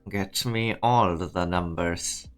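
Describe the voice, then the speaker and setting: A man's voice: low voice, takes it slowly, fairly bright tone, faint music behind. A man playing a dry, curt, grumbling boss in a fan-dubbed game drama. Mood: curt, wry. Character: dry, grumbling male boss awaiting results.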